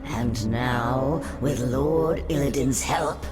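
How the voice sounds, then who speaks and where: Very deep-voiced, haughty sea witch. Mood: haughty menace. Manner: condescending, drawn-out, silky menace. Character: haughty, menacing female Naga sea witch, ancient and cursed. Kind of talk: dramatic video game voice acting.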